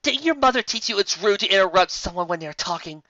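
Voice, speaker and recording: A man's voice: high-pitched, animated, fast talker, sharp tone, no room sound, lo-fi sound, voice pushed up. A man voicing an eccentric, gushing female pony with a theatrical, dreamy manner for a story. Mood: indignant. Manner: breathy dreamy sighing, theatrical.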